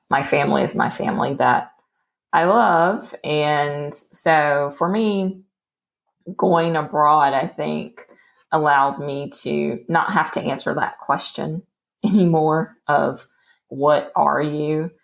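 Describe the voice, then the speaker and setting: A woman in her forties from Texas speaks slowly and calmly. Her voice sits low, with a gravelly edge. She tells her story as a podcast guest, unhurried and thoughtful. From Texas, USA. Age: 40s. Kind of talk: relaxed storytelling.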